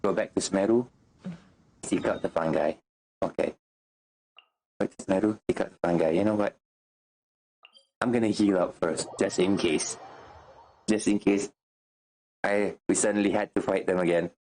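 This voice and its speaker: A man's voice: deep voice, fairly even intonation, normal speed, long pauses, a little mellow, poor audio. A man doing informal, sweary gameplay reaction commentary as a streamer. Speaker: game streamer. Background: game music.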